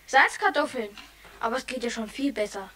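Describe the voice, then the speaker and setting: A woman's voice: a little high, expressive, brisk, sharp tone, distant mic. A performer heard as a woman, playing an earnest, dutiful young boy in a 1960s German children's radio play. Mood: matter-of-fact. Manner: plain-spoken, dutiful. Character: earnest, responsible, hard-working young boy.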